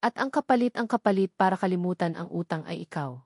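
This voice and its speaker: A woman's voice: on the low side, fast talker, darkish tone, slightly rough, dry recording, clean studio sound. A woman voicing a remorseful, weeping old farmer father who pleads with his daughter in an audio drama. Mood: insistent. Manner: pleading, guilt-ridden.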